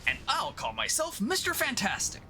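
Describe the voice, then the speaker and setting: A man's voice: very high voice, huge pitch swings, moderate pace, gravelly, sharp tone, faint music behind, pitched above the performer's own voice, heavily acted. A man voicing an earnest, declamatory scientist and team leader in a dramatic comic-book reading. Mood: resolute. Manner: declamatory, urgent. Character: commanding, earnest male scientist hero, melodramatic team leader.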